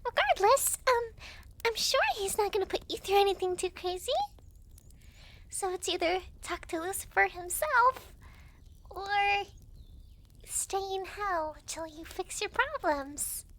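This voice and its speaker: A woman's voice: very high voice, animated, fairly bright tone, full character voice. A woman playing a chatty, teasing young devil girl clerk in an ASMR roleplay, speaking to the listener in a breezy, giggly way. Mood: chatty, persuasive. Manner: giggly, breezy, teasing, halting when flustered.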